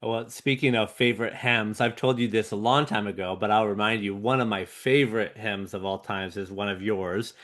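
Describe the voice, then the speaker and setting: A middle-aged man hosting an interview, speaking in a halting way with many restarts. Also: fairly low pitch, fairly even intonation, a bit bright. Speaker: interview host. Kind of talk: conversational interview.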